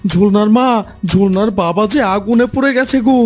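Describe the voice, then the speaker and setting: A man's voice: high-pitched, a little fast, soft background music, lightly acted. A man voicing a frantic, urgent village man bearing bad news in a cartoon. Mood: panicked. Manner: urgent, wailing.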